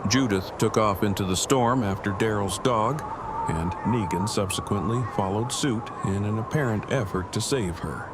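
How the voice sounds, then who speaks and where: An actor playing an earnest, wordy survivor who speaks in stiff, over-precise formal language in an audio drama. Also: male voice, fairly low pitch, moderate pace, music in the background, close to an everyday voice. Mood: matter-of-fact. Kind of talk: fan audio drama acting. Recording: loud outdoor storm noise.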